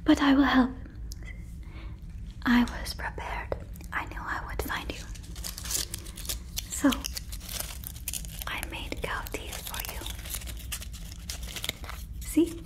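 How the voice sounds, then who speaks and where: Naive, friendly female alien for ASMR roleplay played by a woman: high-pitched, breathy close whisper, stilted and soothing. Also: steady tone. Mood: gently busy, pleased. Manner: slow, stilted formal phrasing, soothing, blunt. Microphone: fluffy microphone, very close.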